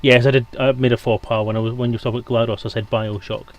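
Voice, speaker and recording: A man's voice: medium pitch, level tone, racing. A man speaking casually as a guest in a livestream discussion. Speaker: stream guest. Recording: clear background noise on mic.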